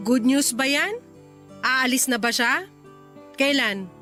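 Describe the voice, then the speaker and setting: Casting: furious Filipino young wife, piercingly high and sharp, scolding, for radio drama. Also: female voice, slow pace, faint music behind, heavily acted. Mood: tense, suspicious. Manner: emphatic, scolding. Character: angry young wife and mother, indignant, confrontational.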